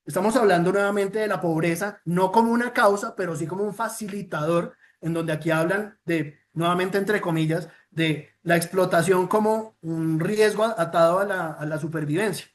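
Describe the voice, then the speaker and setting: Colombian man, high-pitched, earnest formal presenter, fillers and restarts. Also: normal speed, few pauses. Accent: Colombian Spanish. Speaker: presenter of a child protection report.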